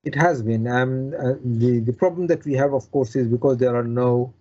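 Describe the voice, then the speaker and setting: A man from Bangladesh speaking as a surgeon being interviewed, explaining in a halting way with repeated words and restarts. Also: medium pitch, darkish tone, highs cut off. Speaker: consultant bariatric surgeon, interview guest.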